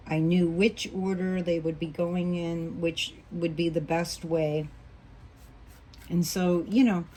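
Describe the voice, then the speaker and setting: A woman teaching a quilting block as she sews, patient and thinking aloud, a little hoarse as she loses her voice. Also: fairly low pitch, a little mellow, slight background noise, rough recording. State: losing her voice, coughing. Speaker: quilting instructor. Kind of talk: relaxed hands-on sewing tutorial.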